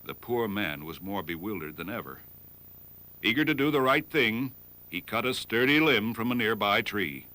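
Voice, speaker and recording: A man's voice: on the low side, lively intonation, moderate pace, bright, forceful tone, low-quality recording. A man narrating a scripted fable in storybook style.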